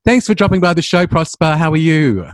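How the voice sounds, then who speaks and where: A man's voice: a little high, lively intonation, a little fast, no echo. A man hosting an interview show, introducing his guest and asking questions.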